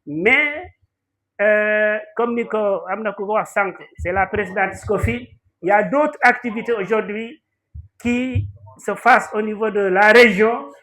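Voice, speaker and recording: High-voiced Senegalese man, slow ceremony speech. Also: bright, forceful tone. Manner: halting, deliberate delivery with pauses. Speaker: speaker at a school awards ceremony. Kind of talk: formal ceremony speech mixing French and Wolof.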